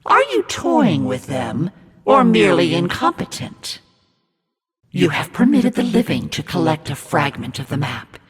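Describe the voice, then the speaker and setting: A man voicing a menacing, scornful demonic narrator in a video game, commanding and mocking in a theatrical, raspy delivery. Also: high-pitched, wildly swooping pitch, a little slow, full character voice. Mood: scornful, threatening. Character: demonic evil narrator, menacing, scornful, imperious servant of darkness. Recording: long reverb over music.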